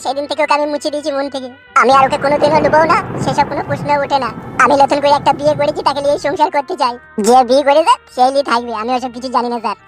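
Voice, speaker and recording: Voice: high voice, close to an everyday voice. A performer voicing a dramatic, self-pitying young husband in a cartoon, pouring out long, florid complaints. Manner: long wailing complaints full of florid images. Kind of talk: cartoon dub acting.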